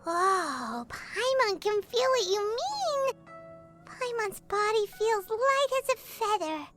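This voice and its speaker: A woman voicing a tiny floating girl companion who is excitable and refers to herself by name. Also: very high-pitched, slow pace, soft background music, heavily acted. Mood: curious. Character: tiny floating girl companion, chirpy, talks in third person.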